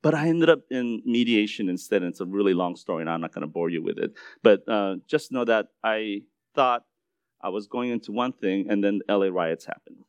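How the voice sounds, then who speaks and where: Korean American male preacher, low voice, casual testimony style, dry sound. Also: brisk, clear, resonant tone, dry recording. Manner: conversational, repeats words and restarts, uh fillers. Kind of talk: sermon testimony.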